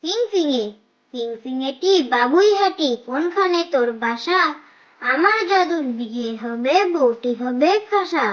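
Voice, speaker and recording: A performer voicing a young child who leads cartoon nursery rhymes in a measured, repetitive sing-song. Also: high-pitched, animated, highs cut off.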